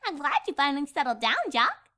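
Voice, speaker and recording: A woman voicing a goofy, excitable female cartoon pony with a chirpy, exaggerated delivery in a comedic reading. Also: sits high, brisk, heavily acted. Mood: chatty, scatterbrained. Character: bubbly, goofy pink cartoon mare, scatterbrained and excitable. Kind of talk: acted comedic fan fiction reading.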